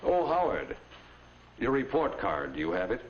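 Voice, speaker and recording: A dull, noisy old film soundtrack presents a calm, fatherly principal. He instructs in a measured way with widely varied intonation, in 1950s educational film style. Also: medium pitch. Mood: gently firm. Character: calm, fatherly male high school principal.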